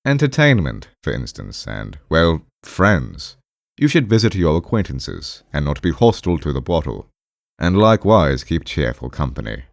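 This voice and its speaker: A man's voice: extremely low pitch, sing-song, moderate pace, vocal fry, dry recording, clean studio sound, heavily acted. A man voicing a pompous, brusque doctor in an audiobook, ponderous and emphatic, with hems and haws. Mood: prescriptive. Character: pompous, brusque male doctor lecturing his patient. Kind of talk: audiobook character voice.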